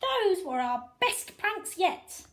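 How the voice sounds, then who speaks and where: A woman voicing a mischievous, anxious schoolboy in a storybook, excitable and nervy. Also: very high-pitched, slow pace, reverberant, voice pushed up, heavily acted. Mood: giggly. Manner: excitable, nervy, stammering when cornered.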